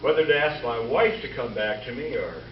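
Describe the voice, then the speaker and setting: A man playing a wry comedian who narrates a mock western as its hero, deadpan with dramatic pauses, in an old-time radio comedy staged live. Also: medium pitch, over music, natural voice. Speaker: amateur radio-show player. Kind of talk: 1950s radio comedy re-creation, narrated monologue. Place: live stage show before an audience. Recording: echoey, noisy hall from far off, muffled.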